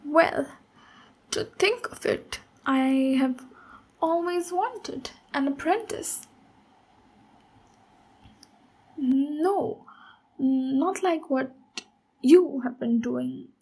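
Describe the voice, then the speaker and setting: A woman playing a big, friendly female ogre warrior in an ASMR roleplay, speaking gently and encouragingly as a mentor. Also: high-pitched, extremely slow, full character voice. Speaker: ASMR voice actor. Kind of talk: ASMR roleplay, slow intimate monologue.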